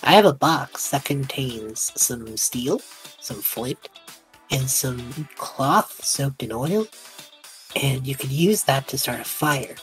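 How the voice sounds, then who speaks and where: Woman playing a low-voiced, wry male adventurer. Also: animated, takes it slowly, faint music behind, heavily acted, poor audio. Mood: coaxing. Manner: hesitant, wry bargaining. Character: Treff, harried male adventurer, persuasive bluffer.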